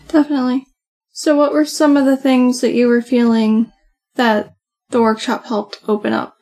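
A soft-voiced woman co-hosting a podcast, talking casually and often saying "like". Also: fairly high pitch, flat delivery, moderate pace. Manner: chatty, many "like" fillers. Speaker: podcast co-host. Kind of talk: casual conversation.

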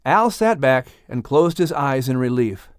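A man's voice: medium pitch, lively intonation, a little slow, no echo, studio quality. A man reading a children's audiobook as a dramatic storyteller. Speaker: audiobook narrator.

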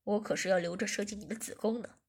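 A woman voicing a bossy, domineering man who taunts and gives orders in a lowered, husky voice. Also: steady tone, fast. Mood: taunting. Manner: taunting, commanding.

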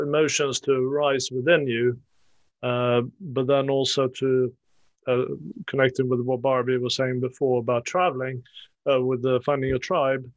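A man hosting a reflective discussion, speaking in a thoughtful, measured way with pauses and fillers. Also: mid-range voice, dry recording, rough recording. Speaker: host.

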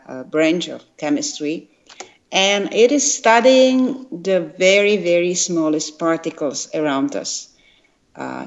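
An older woman with a Czech accent speaks slowly and fairly low, explaining things with lots of pauses and fillers. Her voice comes through a dull, lo-fi recording. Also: a little mellow. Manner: hesitant, many fillers, careful explaining. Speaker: guest, retired quantum chemist. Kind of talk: interview answers. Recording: dull lo-fi sound.

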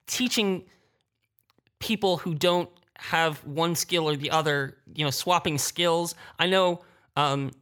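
A man's voice: fairly high pitch, sharp tone. A man speaking as a creative panelist in a roundtable discussion. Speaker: panelist, audio drama creator.

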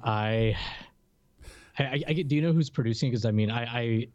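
A man co-hosting a podcast in casual conversation, somewhat halting and repeating words as he goes. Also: medium pitch, darkish tone, dry recording, dull audio. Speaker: podcast co-host.

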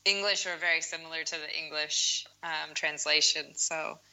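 Low, very bright woman from South Africa. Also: fairly even intonation, normal speed, smooth, low-quality recording. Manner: rambling, restarts phrases. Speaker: interviewer from the wool industry. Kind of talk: casual interview chat.

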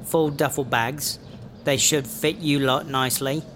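A man's voice: mid-range voice, steady tone, a little slow, a bit bright, slight background noise, lightly acted. A man playing a brash, foul-mouthed English smuggler in a sci-fi comedy audio drama, sardonic and slangy. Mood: matter-of-fact.

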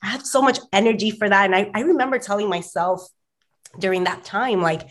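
A woman in her thirties co-hosting a casual podcast, her voice raspy and hoarse from allergies, chatty and full of "like". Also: medium pitch, brisk, fairly bright tone. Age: 30s. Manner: chatty, lots of "like", laughs often. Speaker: podcast co-host.

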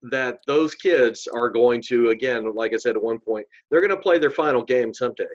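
A man's voice: mid-range voice, normal speed, muffled recording. A man being interviewed as a guest, chatting in a friendly way and laughing easily. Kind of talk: casual interview chat.

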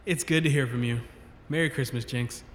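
A man's voice: medium pitch, fairly quick, a little hiss or hum, natural voice. A man playing a chatty, friendly scientist in an audio drama, with a casual, joking delivery.

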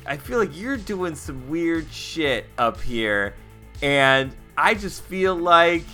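A man's voice: fairly high pitch, very animated, takes it slowly, sharp tone, soft background music, full character voice. A man voicing a laid-back stoner vagabond in a tabletop game, rambling and placating while rattled.

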